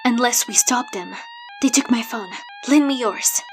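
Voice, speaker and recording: A woman playing a determined, urgent young investigator in an audio drama, with a husky voice. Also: a little high, measured pace, over music, natural voice.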